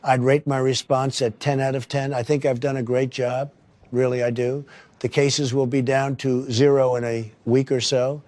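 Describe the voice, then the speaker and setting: A man's voice: medium pitch, little pitch movement, moderate pace, a little mellow, lightly acted. A man voicing a boastful, paranoid male cat demagogue in a satirical audio story, delivering pompous, repetitive political speeches. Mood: self-congratulatory.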